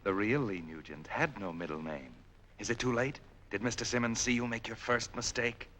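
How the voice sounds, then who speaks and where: An actor playing an ominous unseen narrator in a 1940s film noir, taunting a guilty man with deliberate, insinuating questions. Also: mid-range voice, fairly bright tone, a little hiss or hum, natural voice. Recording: 1940s film soundtrack, music underneath.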